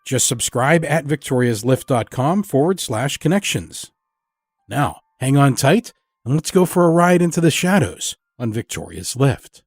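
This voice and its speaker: A man's voice: mid-range voice, sing-song, measured pace, breathy edge, dry recording. A man hosting a podcast, reading a scripted introduction and announcements. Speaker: podcast host. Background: music.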